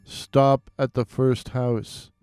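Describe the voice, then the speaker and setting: Storybook undead villain, male narrator acting: commanding, sinister, drawn-out words, mellow smooth tone. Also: medium pitch, level tone, no room sound, clean studio sound, faint music behind. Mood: grim command. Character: commanding male walking corpse, blood-drinking and sinister.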